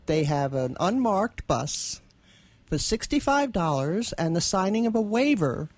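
A woman's voice: mid-range voice, sing-song, unhurried, a little mellow, no room sound. A woman co-hosting a casual radio chat, talking in an amused way from a station studio. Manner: amused, chuckling as she talks. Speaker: radio co-host.

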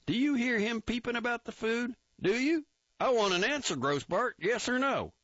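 A man voicing a gruff, impatient army captain with a loud, bullying, sarcastic manner. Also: on the high side, big pitch swings, normal speed, no room sound, pitched above the performer's own voice. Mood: angry. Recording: dull dry recording.

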